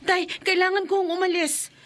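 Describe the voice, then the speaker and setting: A woman's voice: very high voice, flat delivery, normal speed, fairly bright tone, no room sound. An actress playing an anxious, headstrong young woman in a radio drama, urgent and emotional. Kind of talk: Filipino radio drama acting.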